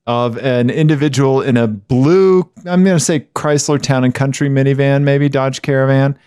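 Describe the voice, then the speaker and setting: A man's voice: medium pitch, moderate pace, clean tone, no room sound, clean studio sound. A man hosting a podcast, telling an anecdote in casual chat with emphatic repetition. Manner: animated storytelling, emphatic repetition. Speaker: podcast host.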